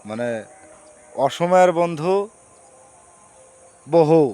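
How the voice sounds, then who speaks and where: A man's voice: a little high, extremely slow, mellow, smooth. A man with a rural Bengali dialect accent talking casually and reflectively to his viewers, in a plain-spoken, repetitive, moralizing way. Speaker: social media vlogger.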